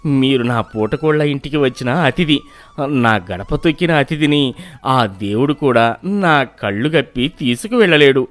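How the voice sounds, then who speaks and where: A man voicing a humble, brave middle-aged boatman in an animated storybook, speaking deferentially and earnestly. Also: medium pitch, a little slow, lightly acted. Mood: resolute.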